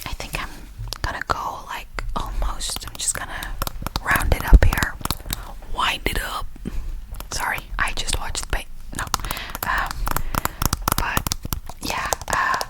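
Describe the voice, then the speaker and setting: Slow whispering young woman. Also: low-quality recording. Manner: rambling, giggly, self-conscious whispering. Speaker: vlogger. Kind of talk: whispered ASMR vlog.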